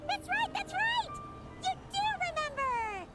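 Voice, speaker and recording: A woman voicing a small, chatty, excitable childlike companion in a video game. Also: very high voice, measured pace, exaggerated voice. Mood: excited, curious. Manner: exclamatory, chatty, refers to herself in third person. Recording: game dialogue with loud background music.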